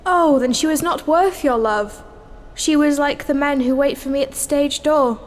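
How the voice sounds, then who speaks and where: An actress playing an idealistic, romantic young dancer in an earnest, theatrical stage style. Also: female voice, high voice, normal speed, lightly acted. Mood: tender, earnest. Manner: earnest, declamatory. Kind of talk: theatrical stage morality play acting. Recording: noisy, reverberant hall recording.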